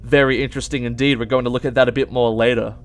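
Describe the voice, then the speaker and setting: Young male YouTube host, medium pitch, casual and emphatic explainer talking straight to viewers. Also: little pitch movement, racing, faint music behind. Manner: emphatic, addresses viewers directly. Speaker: YouTube game theory host.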